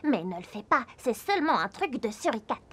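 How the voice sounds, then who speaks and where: A young cartoon meerkat with a high voice. The little animal is watchful and friendly, speaking carefully and instructively to a young audience. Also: female voice, sing-song, a little fast, breathy edge. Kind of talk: children's educational cartoon dialogue.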